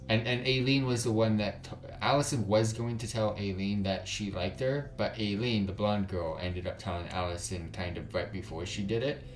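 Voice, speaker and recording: Deep-voiced man doing game commentary. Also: roomy sound. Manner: casual, rambling, filler-heavy. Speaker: gaming channel host. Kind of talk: let's play commentary.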